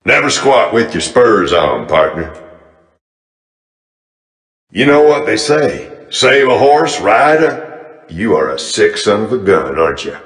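An actor playing a cocky, menacing Old West gunslinger with a Southern American cowboy drawl, delivering theatrical video game lines. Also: male voice, lowish voice, big pitch swings, takes it slowly, pauses often, a bit bright, reverberant, in a large hall, heavily acted. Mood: folksy taunting.

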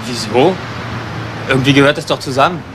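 A man's voice: mid-range voice, a little fast, background noise, lightly acted. An actor playing a stubborn, cheeky young homeless man in a German crime radio drama, contrary and evasive. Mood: curious, naive. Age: young adult.

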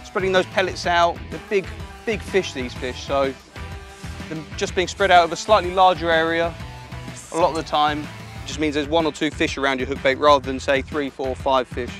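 A young man talks through his methods in an easy, practical, explanatory way. His voice sits a touch high for a man, and soft music runs faintly underneath. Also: moderate pace. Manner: relaxed explanatory, practical tips. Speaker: angling coach. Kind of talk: instructional voice-over and commentary. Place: outdoors at a lake.

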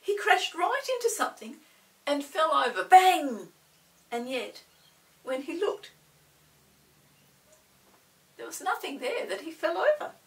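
A woman in her sixties narrating a children's story expressively, in a dramatic, deliberate manner. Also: high-pitched, sing-song, fairly bright tone, far from the mic. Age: 60s. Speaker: storybook reader. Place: small indoor set with dark backdrop.

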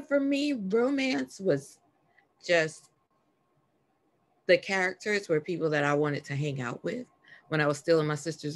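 A woman author speaking earnestly in a casual panel talk over a video call from home. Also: low voice. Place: home room.

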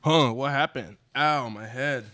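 Young male actor, dazed train guard, apologetic, middle pitch, live radio Western. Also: measured pace, sharp tone. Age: young adult. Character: groggy, apologetic train guard just knocked out. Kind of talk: student live radio play, read from script. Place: classroom studio, indoors.

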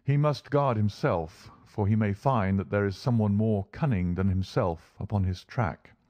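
A man voicing a shrewd, commanding English gentleman detective, brisk, courteous and authoritative, in a storybook reading. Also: fairly low pitch, no room sound, lightly acted. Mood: firm.

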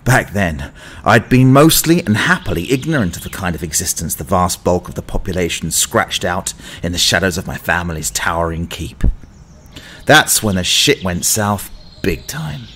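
A sardonic young adventurer of fallen nobility, acted by a man, narrating with wry drama. The voice sits fairly low yet moves expressively, with faint noise behind it. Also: expressive, moderate pace, a bit bright. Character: self-deprecating young male fallen-noble adventurer, foolhardy, sardonic. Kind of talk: first-person audio drama narration.

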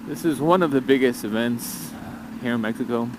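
A man talking casually to viewers as a motorcycle vlogger, speaking in short phrases with long pauses while riding. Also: mid-range voice. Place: outdoors on a motorcycle in city traffic. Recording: traffic, engine and wind noise.

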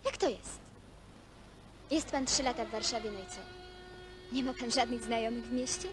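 An actress playing a polite, composed young woman doing survey research in a naturalistic film drama, speaking in a measured way. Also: on the high side, close to an everyday voice. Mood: polite, curious. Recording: noisy old film soundtrack with traffic.

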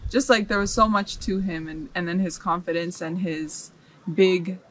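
A woman's voice: fairly low pitch, moderate pace, fairly bright tone, a little hiss or hum, poor audio. A young woman from the United States, telling her story reflectively as an interview guest outdoors. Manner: reflective, searching for words. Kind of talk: casual interview chat. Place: outdoors in a rural yard. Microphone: camera mic, seated mid shot.

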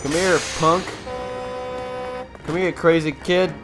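Slow-paced playful man commentating over game music. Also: on the high side, fairly even intonation. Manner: playful, slangy, swearing, exclaiming. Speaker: gamer doing a video game walkthrough. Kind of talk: casual gameplay commentary. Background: game music and vehicle sounds.

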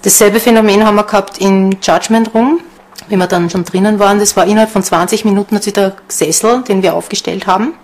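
A woman's voice: mid-range voice, steady tone, fast talker, a little mellow. A woman with an Austrian accent explaining things spontaneously in a documentary interview. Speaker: ghost hunter.

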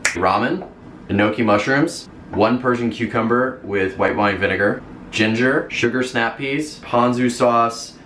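A man's voice: medium pitch, a little slow, fairly bright tone. A young man cooking in his home kitchen, casually explaining each recipe step on a vlog. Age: young adult. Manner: easygoing, step-by-step instructing. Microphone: camera mic. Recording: roomy kitchen with background noise.

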